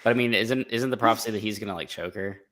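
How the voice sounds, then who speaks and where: Low-voiced man, casual slangy banter. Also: very fast, fairly bright tone. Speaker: co-host of a book readalong live chat.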